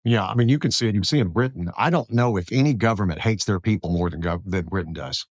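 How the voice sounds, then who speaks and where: A man's voice: fairly low pitch, fast, no room sound, studio quality. A man hosting a conversational interview podcast in an informal, folksy way. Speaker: podcast host.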